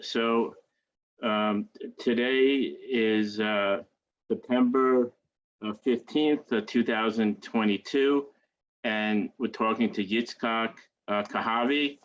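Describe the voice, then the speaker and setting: A man conducting an informal oral-history interview over a video call, speaking haltingly and repeating himself. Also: on the low side, steady tone, a little hiss or hum. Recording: dull, lo-fi video call audio.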